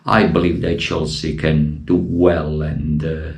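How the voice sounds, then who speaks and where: A man from Italy with an Italian accent, giving emotional, impassioned commentary as a football fan vlogger and bursting into shouted appeals, his voice wearing thin. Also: extremely low pitch, little pitch movement, slow pace. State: losing his voice.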